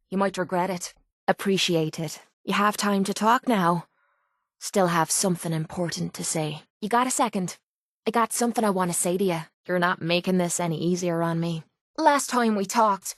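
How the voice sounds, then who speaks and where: A woman's voice: mid-range voice, dry recording, studio quality, lightly acted. A woman playing a brash, foul-mouthed young Irish brawler in a video game, blunt and sardonic but vulnerable underneath, with an Irish accent. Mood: teasing, confiding, then regretful, tender. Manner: blunt, gruff, sardonic, informal. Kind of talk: video game companion voice lines.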